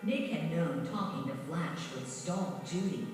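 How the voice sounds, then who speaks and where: A woman reading a scripted children's story in a measured, dramatic storytelling manner. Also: low voice, a little mellow. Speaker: storybook narrator. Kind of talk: scripted children's read-along narration. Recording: very echoey, distant, music behind. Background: music.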